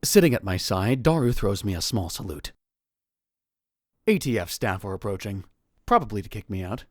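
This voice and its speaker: Man acting brash, dramatic college guy, lively low voice. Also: moderate pace, no room sound, clean studio sound. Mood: wry narrating. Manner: pompous, dramatic delivery with exclamations. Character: brash, arrogant, theatrical male college student narrator. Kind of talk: visual novel fan dub narration.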